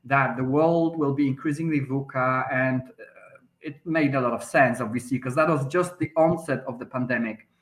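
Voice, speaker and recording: A man's voice: mid-range voice, moderate pace. Hesitant Polish man in an echoey room. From Poland. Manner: hesitant, many restarts and fillers. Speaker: podcast guest. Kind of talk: conversational interview answer. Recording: roomy echoey sound.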